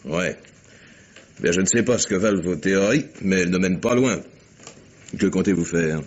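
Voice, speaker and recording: Husky, brusque police chief, lowish voice. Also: male voice, moderate pace. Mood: grudging, curt. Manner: gruff, authoritative, curt. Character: brusque, impatient male senior police chief. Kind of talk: 1950s French radio mystery acting.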